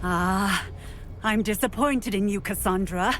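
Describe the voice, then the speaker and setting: A woman playing a composed female wizarding police officer in a video game, measured and formal in manner. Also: mid-range voice, over music, lightly acted. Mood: firm, dry. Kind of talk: video game character acting.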